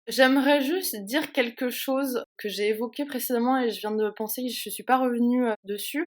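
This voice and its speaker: A woman's voice: a little high, steady tone, normal speed. A woman in her twenties from France, an interview guest speaking earnestly and reflectively with fillers. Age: 20s. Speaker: guest, student. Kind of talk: casual interview talk.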